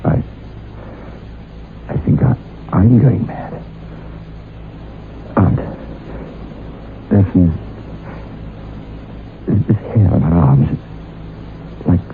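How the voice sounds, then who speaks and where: A man playing a distraught, fearful husband in a radio horror drama, pleading haltingly and repeating himself as if losing his mind. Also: sits low, expressive, creaky, narrow, tinny audio, exaggerated voice. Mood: frantic, pleading. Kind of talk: old-time radio horror drama acting. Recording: very noisy, echoey, thin old radio recording.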